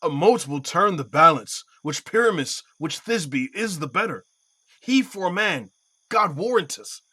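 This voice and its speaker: Audio-play role: teasing aristocrat, acted by a man, lightly high male voice, wry wit, classical comedy. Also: animated, measured pace, bright, forceful tone, breathy edge, full character voice. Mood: dry mockery. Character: witty, mocking nobleman heckling a play. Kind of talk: Shakespearean audio play acting.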